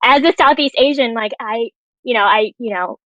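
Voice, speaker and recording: A woman's voice: fairly high pitch, moderate pace, a bit bright, no room sound, highs cut off. A woman chatting casually in a panel discussion, conversational and full of quoted asides.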